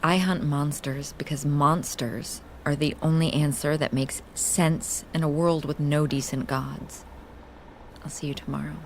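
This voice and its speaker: Intense, low-voiced, coaxing woman. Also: fairly even intonation, measured pace, noisy background. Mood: guarded, intense. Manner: conversational, probing, insistent. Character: intense, coaxing woman obsessed with solving puzzles. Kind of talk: scripted audio drama acting.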